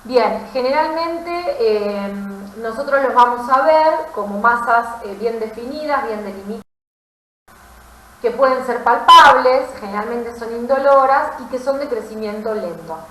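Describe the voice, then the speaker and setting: Woman, Argentine accent, higher-pitched voice, didactic medical lecturer, explanatory delivery, brief tag questions, echoey room recording. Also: a little slow, bright, forceful tone. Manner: didactic, often checking understanding with short tag questions. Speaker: pathology lecturer, physician.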